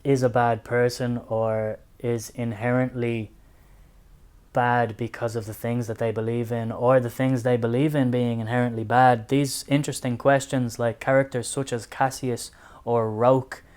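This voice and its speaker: An Irish man talking through a book series for his channel, in a voice of middling pitch. He speaks in an earnest, thoughtful way and weaves his own life into what he says. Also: level tone, a little slow, darkish tone, clear, resonant tone. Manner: earnest, reflective, personal. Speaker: book reviewer. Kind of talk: casual book review to camera.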